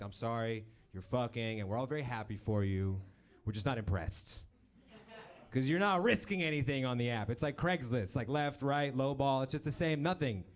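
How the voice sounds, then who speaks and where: A man in his thirties, born in Romania and raised in Texas, doing a dry, self-deprecating stand-up set on a club stage with a handheld mic. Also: a little hiss or hum. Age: 30s. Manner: dry, self-deprecating, mimics people in high voices. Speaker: stand-up comedian. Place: comedy club stage with live audience.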